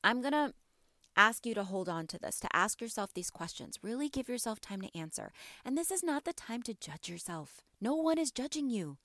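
A mother hosting a motivational podcast, her voice a little raspy from allergies, talking earnestly and encouragingly and asking her listeners question after question. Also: fairly high pitch, big pitch swings, normal speed, pushed and bright, no echo, studio quality. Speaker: podcast host.

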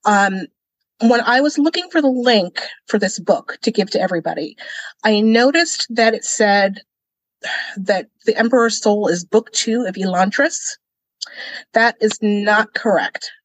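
A woman's voice: medium pitch, unhurried, no echo, low-quality recording. A woman co-hosting a casual book discussion, explaining things as a guide to the listener. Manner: explanatory, guiding. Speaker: podcast co-host.

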